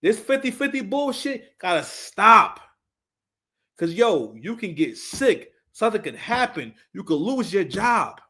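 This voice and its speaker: A man in his thirties with a somewhat high-pitched voice hosts a casual live stream. He speaks off the cuff and emphatically, circling back over his phrases and swearing as he goes. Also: very animated, measured pace, sharp tone. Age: 30s. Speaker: live stream host giving commentary. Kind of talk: casual, unscripted opinionated commentary.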